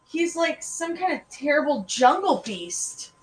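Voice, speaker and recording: A woman's voice: medium pitch, normal speed, a bit bright, lightly acted. A woman voicing a dramatic, exasperated young schoolgirl in a storybook reading. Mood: disgusted. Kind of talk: children's storybook character reading. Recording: echoey room with faint noise.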